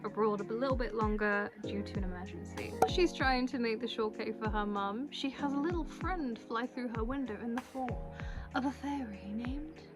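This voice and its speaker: A young woman with a bubbly, expressive and slangy manner, chatting to camera as a video creator introducing her vlog. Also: on the high side, moderate pace. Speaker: cosplay video creator and host. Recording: music playing behind the voice. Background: music.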